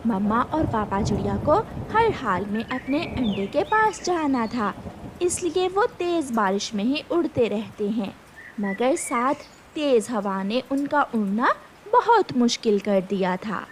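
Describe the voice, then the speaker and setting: A woman's voice: on the high side, very animated, unhurried, noisy background. A woman reading scripted narration for a children's cartoon story.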